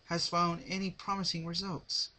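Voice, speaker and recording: A man's voice: sits high, level tone, normal speed, bright, forceful tone, voice pushed up. A man voicing an exhausted, bossy female magic pony in a dramatic story reading. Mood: frustrated, urgent. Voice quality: tired, slurred.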